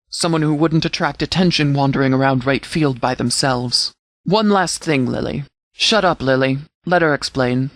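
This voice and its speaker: A man playing a stern, formal sheriff in a video game, giving orders in a measured, authoritative way. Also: fairly high pitch, fairly bright tone, no echo. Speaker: sheriff and commander. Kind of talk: scripted game dialogue, mission briefing.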